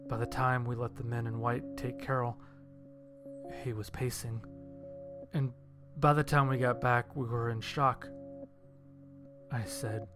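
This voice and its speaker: A man's voice: mid-range voice, flat delivery, a little slow, pauses often. A man narrating a scripted first-person story and acting out his own lines of dialogue. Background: background music.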